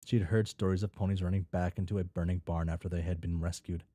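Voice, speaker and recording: A man's voice: sits low, steady tone, quick pace, warm tone, no echo. A man casually reading a story aloud with off-the-cuff commentary, tripping over and repeating words and swearing freely. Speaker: reader reacting to a fan fiction.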